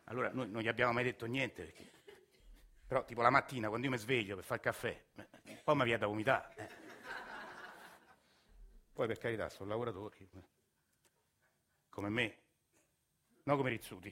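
A man's voice: medium pitch, normal speed, pauses often, pushed and bright. An actor playing a weary, earnest working-class Roman family man, confiding his grievances in a colloquial theatrical monologue. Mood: confiding, aggrieved. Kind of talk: theatrical first-person monologue.

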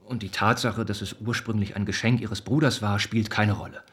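An earnest young gentleman, slightly husky. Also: on the low side, steady tone, brisk. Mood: gently persuading. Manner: gentle, formal, earnest phrasing. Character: kind, earnest young gentleman, devoted older friend. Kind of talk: German radio play acting. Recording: studio radio play with music underneath.

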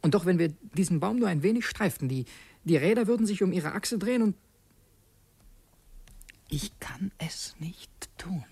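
An actor playing a tense young revolutionary in a German radio drama, brooding, halting and fervent, as if confessing a secret plot. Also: male voice, high voice, lively intonation, vocal fry, a little mellow, slightly rough, full character voice. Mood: brooding whisper. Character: tense young revolutionary man secretly plotting against his host.

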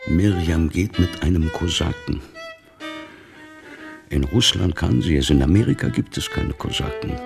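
An actor playing a devout, stern, weary older father in a literary radio play, speaking gravely with a gravelly, husky voice. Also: deep voice, animated, a little slow, long pauses, over music, exaggerated voice. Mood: grave, brooding.

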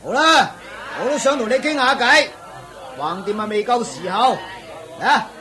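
A man's voice: extremely high pitch, expressive, moderate pace, pushed and bright, some chatter behind, exaggerated voice. A man voicing a middle-aged auctioneer who chuckles and lectures in a knowing, chatty way in a radio play. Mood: amused.

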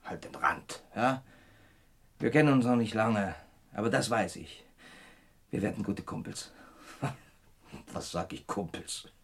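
An actor playing a gruff, injured truck driver, an earthy, sardonic bachelor with slangy speech, in a vintage German radio crime play. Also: male voice, on the low side, expressive, slow, long pauses, exaggerated voice. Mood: gruffly affectionate.

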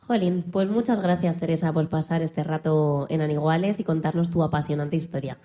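Woman interviewer, low-pitched, soft dark tone, conversational radio delivery. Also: fairly even intonation, a little fast.

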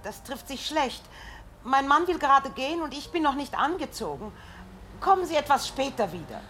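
A woman's voice: sits high, very animated, fairly bright tone, soft background music. An actress playing a tenant who is caught before she has dressed and tries to put off a visitor in a radio drama. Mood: flustered. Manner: hurried, evasive. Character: flustered married woman tenant, not yet dressed. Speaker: tenant answering the door.

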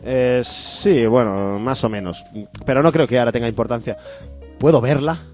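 A man's voice: medium pitch, moderate pace, a little mellow, soft background music, lightly acted. A man playing an insistent, melodramatic woman lover in a comic radio soap, overwrought and pleading. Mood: anxious.

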